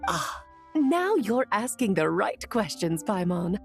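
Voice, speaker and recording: A woman's voice: medium pitch, very animated, takes it slowly, faint music behind. A woman playing a mischievous, doting lady mage in a video game, with an airy, theatrical way of talking. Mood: amused. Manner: airy, theatrical, dainty turns of phrase. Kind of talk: anime-style game voice acting.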